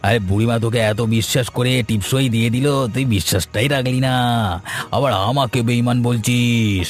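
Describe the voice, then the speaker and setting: A man's voice: mid-range voice, steady tone, fairly quick, soft background music, close to an everyday voice. A man voicing a greedy, contemptuous businessman villain in a cartoon, sneering and dismissive. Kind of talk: Bengali cartoon voice acting.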